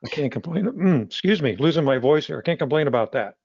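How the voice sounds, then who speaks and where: A man's voice: on the high side, lively intonation, gravelly, a little mellow, breathy edge, dry recording, dull audio. A man, a magazine publisher, talking casually in an interview, often restarting his sentences. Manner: halting, with frequent restarts.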